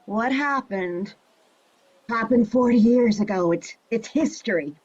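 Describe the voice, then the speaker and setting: An actress playing a flippant, teasing retired heroine of sixty-five in a fan-made drama. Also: female voice, fairly high pitch, measured pace, a little mellow, slightly rough, lo-fi sound. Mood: wry, weary. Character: brassy, flippant 65-year-old retired heroine, a mother.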